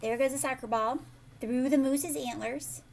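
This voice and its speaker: An American woman in her thirties reads a picture book aloud to children expressively, pausing to ask the listener questions. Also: high-pitched, vocal fry. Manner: animated, prompting listeners with questions. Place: living room at home, indoors.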